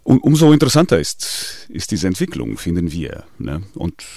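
Singer, man, deep gravelly voice, lively hesitant delivery with many restarts, dry sound. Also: normal speed, dry recording. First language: Portuguese. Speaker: singer in a latin music band. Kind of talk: radio interview.

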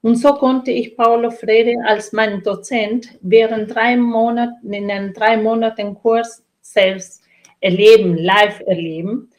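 A woman's voice: a little high, fairly even intonation, unhurried. Earnest middle-aged woman, Latin American accent. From Chile and Brazil. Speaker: interview guest, trainer and coach. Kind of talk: reflective interview answer about own life and work.

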